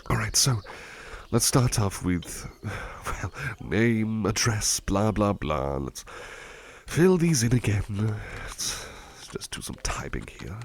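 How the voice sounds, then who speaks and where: A man playing a devoted, polite butler in an ASMR roleplay, eager and gently fussy, murmuring hesitantly and repeating himself reassuringly. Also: on the low side, sing-song, takes it slowly, slight background noise, heavily acted. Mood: gently attentive. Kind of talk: ASMR roleplay, soft personal chat.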